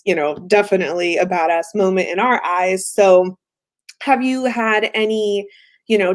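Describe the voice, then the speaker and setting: Young woman hosting a video-call interview. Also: medium pitch. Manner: friendly, filler-heavy questions. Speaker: interview host. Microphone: laptop webcam, video call.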